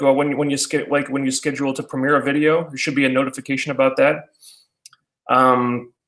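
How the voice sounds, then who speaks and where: A man's voice: fairly high pitch, fairly bright tone. Rambling man in an echoey room. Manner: halting, many fillers and restarts. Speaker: YouTuber answering viewer questions. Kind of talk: casual rambling chat.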